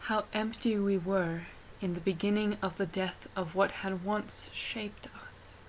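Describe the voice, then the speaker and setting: A woman playing a haunted, horrified witness who tells a gothic horror tale in a grave, breathy manner. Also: medium pitch, fairly even intonation, measured pace, a little hiss or hum, natural voice, low-quality recording. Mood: hushed dread. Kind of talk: hushed gothic horror monologue.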